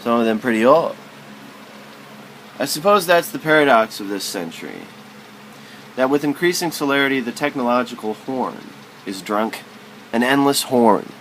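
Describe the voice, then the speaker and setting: A man's voice: mid-range voice, fairly bright tone, a little hiss or hum, rough recording. A man reading literary prose aloud as spoken word, in a musing, philosophical manner.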